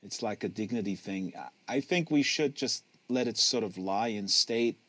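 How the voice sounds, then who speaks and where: A man's voice: fairly low pitch, moderate pace, sharp tone, no room sound. A man reading a novel, voicing a tired, patient, wry teenage older brother. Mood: weary, soothing.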